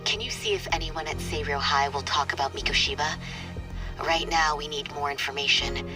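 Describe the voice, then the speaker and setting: A woman playing a serious, composed defense attorney with a measured, businesslike manner in a video game cutscene. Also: deep voice, fairly even intonation, natural voice. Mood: concerned, determined. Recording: game music under the voice. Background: music.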